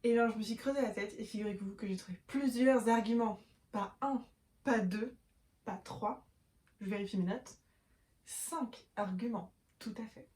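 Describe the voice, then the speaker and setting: A woman's voice: a little high, moderate pace, darkish tone, slight background noise. A young woman talking casually to camera about her reading, with many fillers and self-corrections as she shows books. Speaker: book vlogger. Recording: echoey room.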